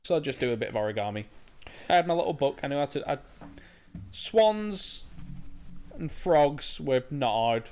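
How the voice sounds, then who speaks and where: A man's voice: medium pitch, expressive, normal speed, darkish tone. A young man co-hosting a podcast, chatting casually and restarting his sentences. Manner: laid-back, with frequent restarts and swearing. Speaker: podcast co-host. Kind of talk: casual chat.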